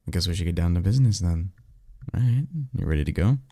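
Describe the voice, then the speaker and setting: A blunt, sarcastic male vampire mentor played by a man for an audio roleplay. The voice sits low with a crackly fry and moves around expressively. The delivery is casual and exasperated, with conversational hesitations. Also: expressive, quick pace, warm tone, dry recording, clean studio sound. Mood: casual, curious. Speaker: voice actor. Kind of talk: ASMR roleplay audio drama, one-sided conversation.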